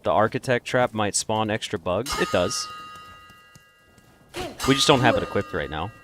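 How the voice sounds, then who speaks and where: Animated man reacting to a boss fight. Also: on the low side, moderate pace. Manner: reactive, exclaims at hits. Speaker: gaming video host. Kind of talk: let's play commentary. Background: game music.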